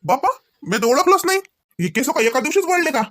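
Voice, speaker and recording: A man's voice: extremely high pitch, sing-song, fairly quick, fairly bright tone, exaggerated voice. Man voicing teasing Varhadi cartoon husband. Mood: mock amazed. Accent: Varhadi Marathi. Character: teasing, wisecracking young husband, mock-bossy. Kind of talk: comedy cartoon dialogue.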